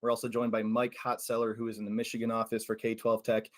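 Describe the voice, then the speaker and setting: A man's voice: mid-range voice, completely flat delivery, fast. A man hosting a podcast, introducing a guest and asking questions in a slightly hesitant, stumbling way. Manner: hesitant, stumbles over names. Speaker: podcast host. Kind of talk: casual interview hosting.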